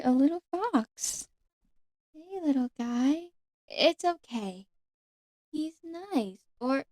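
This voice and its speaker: A woman voicing a lonely, ancient nature fairy, sweet and timid, speaking haltingly and sometimes whispering in an ASMR roleplay. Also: sits high, a little mellow, no room sound, exaggerated voice. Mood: gentle, friendly. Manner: hesitant, halting, apologetic, often whispering. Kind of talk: ASMR roleplay, one-sided conversation with the listener.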